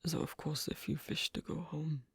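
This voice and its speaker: Man acting as worried fantasy royal, ASMR roleplay, husky gravelly whisper, close dry sound, halting, formal, gentle. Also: a little high, level tone, no echo, exaggerated voice. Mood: kind, hesitant. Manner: halting, hushed, careful, formal phrasing.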